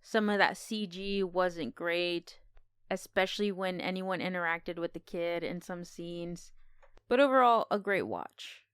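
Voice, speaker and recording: A woman's voice: lowish voice, unhurried, a bit bright, clean tone, no room sound. A woman co-hosting a podcast, talking casually as she gives her review of a film.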